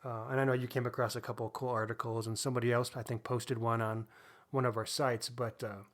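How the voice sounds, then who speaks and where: Male co-host, average pitch, informal conversational delivery for a book discussion podcast. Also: flat delivery, fast talker.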